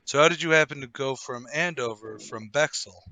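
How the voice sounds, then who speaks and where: An actor playing a brisk, self-assured, well-to-do gentleman, emphatic and a little patronising, in a table read of a period murder mystery. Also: medium pitch, no room sound, natural voice. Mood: probing, reassuring.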